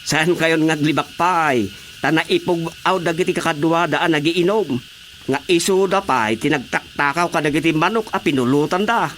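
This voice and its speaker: A man's voice: on the high side, normal speed, a bit bright, slight background noise, natural voice. A man with an Ilocano accent acting a village watchman who makes reports in a radio drama comedy. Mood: accusing. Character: male village watchman excitedly reporting to his superiors.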